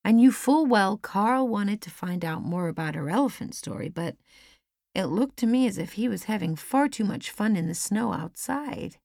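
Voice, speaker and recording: A woman's voice: mid-range voice, lively intonation, moderate pace, gravelly, a little mellow, no room sound, clean studio sound. A woman reading a first-person story as scripted audiobook narration. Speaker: audiobook reader. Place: dry studio.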